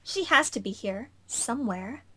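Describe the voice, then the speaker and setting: A woman's voice: fairly high pitch, expressive, moderate pace, bright, forceful tone, husky, pitched below the performer's own voice, exaggerated voice. A woman voicing a small, bossy, sassy young girl hunter in an anime visual novel fan dub. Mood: frustrated.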